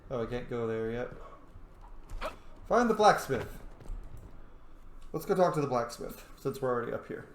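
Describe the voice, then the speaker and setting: A man plays a video game and talks through it casually, half to himself. His mid-pitched voice sits in an echoey room with faint background noise. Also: very animated, normal speed, pauses often. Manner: thinks aloud, mutters choices to self. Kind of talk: casual let's-play commentary.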